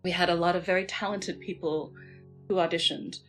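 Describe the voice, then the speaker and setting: A woman with a slightly low voice talks as a guest on a casual panel. She tells anecdotes in a playful, jokey manner. There is light music underneath. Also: fairly even intonation, fairly quick, a bit bright. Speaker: game writer, panel guest. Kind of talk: casual chat, telling anecdotes.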